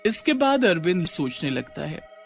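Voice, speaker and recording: A man's voice: fairly high pitch, big pitch swings, normal speed, vocal fry. Male story narrator over music.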